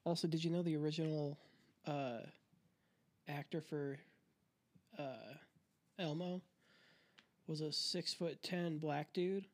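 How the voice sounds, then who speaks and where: A young man co-hosting a podcast, chatting casually in a quick, rambling way with giggles. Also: fairly high pitch, fairly even intonation. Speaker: podcast co-host. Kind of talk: casual chat.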